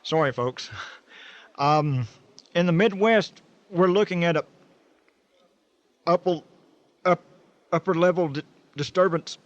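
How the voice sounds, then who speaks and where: A man's voice: a little high, slow pace, long pauses, dry recording. A man playing a flustered, panicky TV weatherman in a radio drama, stammering and breaking up his phrases. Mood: flustered, faltering. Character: flustered, increasingly panicked male TV weatherman burning up. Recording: dull lo-fi audio with music behind.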